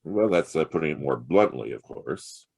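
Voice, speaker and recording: A man's voice: a bass voice, lively intonation, normal speed, gravelly, a little mellow, husky, exaggerated voice. An American man playing a wry, sardonic hard-boiled private detective in a noir audio drama. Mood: sardonic, cool. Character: hard-boiled, wisecracking male private detective, narrating his case.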